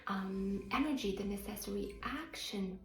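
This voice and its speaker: A woman's voice: mid-range voice, moderate pace. A woman from Morocco with a Moroccan accent, speaking as a motivational coach in an earnest, emphatic self-help talk to her channel's viewers. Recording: roomy echo, faint music underneath.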